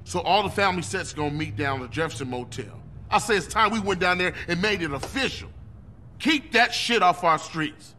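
A man's voice: high-pitched, lively intonation, fairly quick, pushed and bright, a little hiss or hum. A man playing a fiery street gang leader in a video game cutscene, rallying his crew in commanding, slangy talk. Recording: music playing behind.

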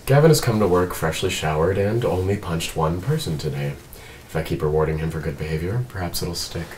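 A low male voice, an actor's, for a film's android detective. He is formal, precise and drily witty. He sounds a little away from the mic, with faint noise behind. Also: a little fast, darkish tone, distant mic, natural voice. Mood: calm, dry. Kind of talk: table read of a film script.

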